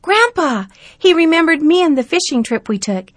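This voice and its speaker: A woman voicing an excited, earnest young boy in a storybook reading, his lines pitched high and loud. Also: moderate pace, no room sound, clean studio sound. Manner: high and eager, loud exclamations.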